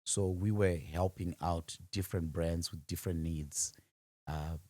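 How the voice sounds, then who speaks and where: A man's voice: sits low, steady tone, measured pace, warm tone, dry recording. A man with a Zimbabwean accent speaking as a podcast guest, answering interview questions conversationally about his own work. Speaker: podcast guest, audiovisual and livestreaming business founder.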